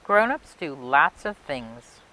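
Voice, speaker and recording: Low-voiced woman reading a picture book, lively pitch. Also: slow pace, low-quality recording. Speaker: library storytime reader. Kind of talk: expressive children's picture book read-aloud. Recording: clear background noise and hiss.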